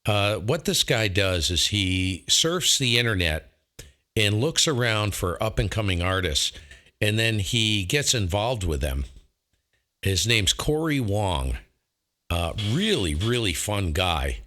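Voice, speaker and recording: A man with a deep voice and a bright, forceful edge chats casually as a ham radio operator. He talks enthusiastically and leans on his points with emphasis. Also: very animated, slow pace. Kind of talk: casual chat over radio.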